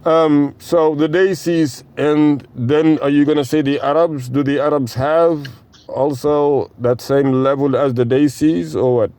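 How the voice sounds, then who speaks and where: A man's voice: a little high, steady tone, unhurried, a little mellow, dry recording. A man leading a casual group discussion as interviewer, probing with follow-up questions.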